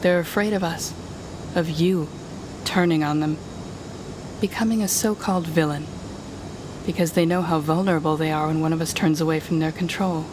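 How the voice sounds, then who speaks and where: A woman playing a smug, controlling telepathic villain in an audio roleplay, taunting the listener in a deliberate, condescending manner. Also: sits low, steady tone, noisy background, lightly acted. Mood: cold, persuasive.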